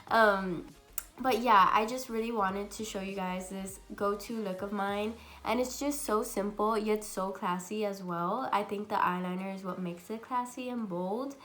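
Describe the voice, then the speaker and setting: A young woman with a raspy, congested voice from a cold, chatting bubbly through a makeup tutorial at home. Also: mid-range voice, a little slow, fairly bright tone, soft background music. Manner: chatty and bubbly, many fillers. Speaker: beauty vlogger. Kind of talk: casual makeup tutorial chat.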